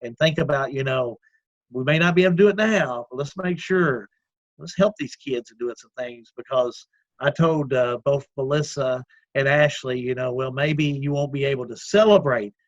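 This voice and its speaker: A man with a loud voice, an executive director talking earnestly in a casual interview, with many fillers and restarts. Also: mid-range voice, moderate pace, dry recording, dull audio. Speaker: community action executive director. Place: at home, remote call.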